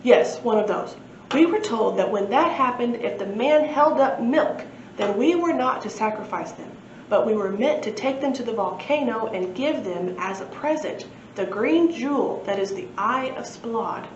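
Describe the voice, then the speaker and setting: A woman's voice: on the high side, animated, normal speed, lightly acted. A woman reading a storybook, voicing a solemn fat man who leads an ancient temple, stately and declarative. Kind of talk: storybook read-aloud. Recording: echoey room, muffled, faint noise.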